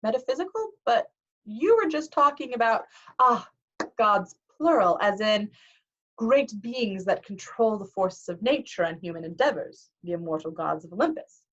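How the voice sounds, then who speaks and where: A woman voicing a kindly, wise older male teacher who speaks in a gentle, measured, formal way. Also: medium pitch, animated, dull audio, natural voice. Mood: earnest.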